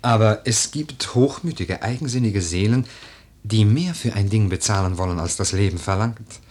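An actor playing a brooding, eloquent, lordly widower in a literary radio play, with lyrical, deliberate, declamatory delivery. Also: male voice, fairly low pitch, a little mellow, breathy edge. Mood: admonishing. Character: brooding, eloquent widower, poetic and lordly, drawn to a young woman.

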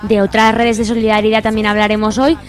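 A woman's voice: on the high side, monotone, quick pace. A woman with an accent from Spain co-hosting a live community radio show from a studio. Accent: Spanish from Spain. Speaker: radio co-host. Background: music playing behind.

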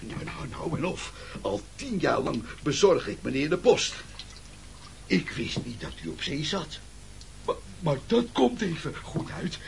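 A man's voice: fairly high pitch, big pitch swings, a little slow, creaky, heavily acted. An actor playing a polite, chatty postman who stammers breathlessly and fussily in an absurd comic radio play. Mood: surprised, eager. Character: polite, flustered, chatty postman fished from the sea. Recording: noisy old radio recording.

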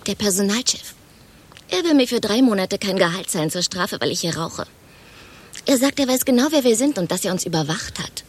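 An actress playing a chatty, overexcited office gossip in a radio play, telling things in a dramatic, gushing way. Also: female voice, mid-range voice, animated, fairly quick, husky. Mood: conspiratorial, uneasy. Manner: dramatic, gushing storyteller, mimics quoted exchanges.

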